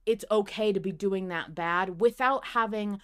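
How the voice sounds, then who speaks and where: A woman's voice: mid-range voice, measured pace, clear, resonant tone. A woman hosting a podcast in casual fan discussion, speaking emphatically and swearing freely. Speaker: podcast host.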